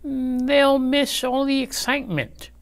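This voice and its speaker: A man voicing a prim, dull, gullible male principal in a storybook, fussy and drawn-out. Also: extremely high pitch, fairly even intonation, gravelly, fairly bright tone, pitched above the performer's own voice, heavily acted. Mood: disappointed.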